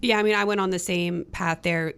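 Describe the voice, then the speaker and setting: A woman's voice: lowish voice, steady tone, fairly quick, a bit bright, smooth. An American woman, a sports host and reporter, talking casually as part of a roundtable discussion.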